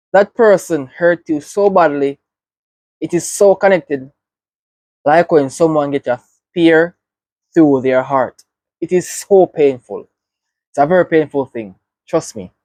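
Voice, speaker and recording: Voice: medium pitch, no room sound. A reaction video host with a Jamaican accent, giving earnest, reflective commentary and often checking in with "right?".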